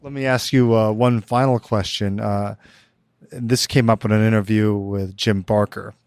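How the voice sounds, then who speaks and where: A man hosting a podcast, asking measured, courteous interview questions. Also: on the low side, steady tone, a little mellow, smooth, dry recording, clean studio sound. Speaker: podcast host.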